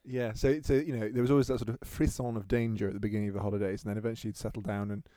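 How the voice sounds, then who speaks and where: A man's voice: on the low side, fairly even intonation, rapid-fire, mellow, no echo. Man, thirties, podcast co-host, chatty storyteller, laughs often, dry close sound. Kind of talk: casual chat.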